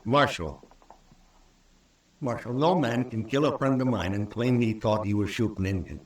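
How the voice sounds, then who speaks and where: A man's voice: medium pitch, normal speed, lightly acted. A male actor playing a hot-tempered, vengeful American rancher in a 1950s radio western, indignant and blustering, with rustic speech. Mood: angry, vengeful. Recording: old radio recording, roomy and distant.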